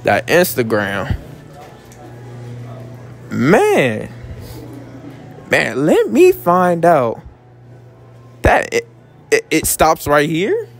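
A man's voice: a little high, huge pitch swings, drawn-out words, creaky, pauses often. A young man hosting casual street interviews outdoors, joking and teasing in slangy talk.

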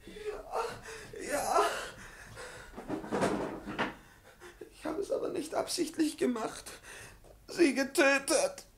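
An actor playing a nervous, volatile middle-aged professor in a 1950s radio play, agitated and evasive, repeating himself in a strained, husky voice. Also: male voice, extremely high pitch, sing-song, slow pace, very noisy, heavily acted. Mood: whining, defensive. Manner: agitated, pleading, stammering repetitions. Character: nervous, volatile male professor, murderous yet childishly evasive. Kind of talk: 1950s German-language radio play acting.

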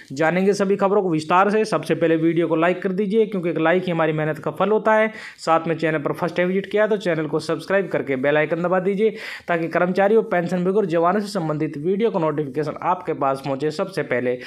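A man presenting scripted news narration for a channel, with an emphatic, announcing delivery. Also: high voice.

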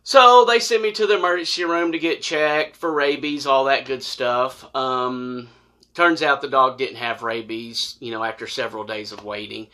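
Bright-voiced middle-aged American man telling stories. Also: medium pitch, moderate pace. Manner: animated, folksy, acts out dialogue. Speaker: storyteller, former law enforcement officer. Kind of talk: casual personal storytelling. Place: small wood-paneled room indoors, seated on a couch.

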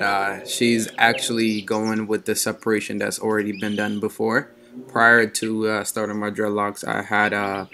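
Low gravelly man, relaxed rambling. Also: slight background noise. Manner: relaxed, rambling, many "uh" pauses. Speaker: vlogger narrating his hair care. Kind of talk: casual voice-over narration.

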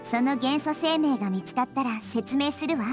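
A woman plays a small girl deity from a fantasy game. Her voice is high and girlish, gentle and thoughtful, with polite, composed phrasing and music underneath. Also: normal speed, close to an everyday voice. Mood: composed, explaining. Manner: soft-spoken polite, thoughtful explaining. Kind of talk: Japanese game dub dialogue.

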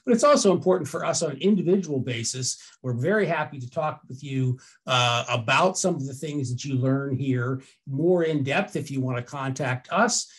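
A man's voice: mid-range voice, moderate pace, hardly any pauses, slightly rough. An older man with an American accent presents an explanatory webinar into a headset, conversational and often rephrasing himself as he goes.

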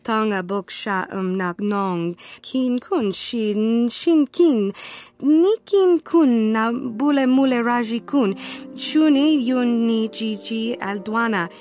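A woman's voice: on the high side, slow, darkish tone, soft background music. An actress playing a stern alien warrior woman who speaks an invented alien tongue in video game dialogue. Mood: argumentative.

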